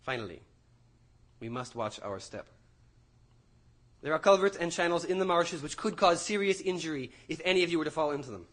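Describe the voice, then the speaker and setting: An actor playing an intense, nervous young university student, lecturing formally and precisely in a theatrical audio drama. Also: male voice, fairly high pitch, lively intonation, a little fast, long pauses, fairly bright tone. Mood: formal, instructing. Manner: precise, formal, faltering under nerves.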